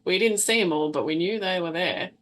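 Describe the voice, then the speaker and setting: A woman's voice: fairly low pitch, a little fast, fairly bright tone. An Australian woman chatting casually as a player in a tabletop roleplaying game. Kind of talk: casual chat.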